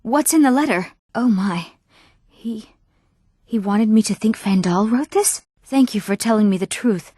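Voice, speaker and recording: A woman's voice: medium pitch, animated, measured pace, dark tone, dry recording, studio quality. Eager young fantasy townswoman. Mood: surprised. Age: young adult. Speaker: video game voice actor. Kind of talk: scripted fantasy game character dialogue.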